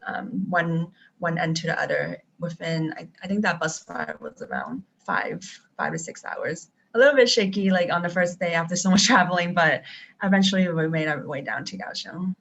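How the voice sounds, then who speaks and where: A woman's voice: fairly low pitch, expressive, moderate pace, vocal fry, fairly bright tone. Young woman on a lo-fi video call. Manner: casual, many ums and restarts. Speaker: traveling teacher and guest. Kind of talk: live-stream video chat. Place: home in Taiwan. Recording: dull lo-fi video call audio.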